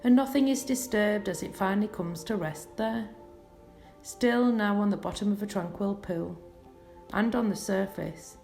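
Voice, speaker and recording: Female hypnotherapist for scripted guided relaxation; soothing, unhurried pauses, noticeably creaky voice, faint music underneath. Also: mid-range voice, darkish tone. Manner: soothing, measured, lulling delivery with pauses. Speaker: clinical hypnotherapist leading a session.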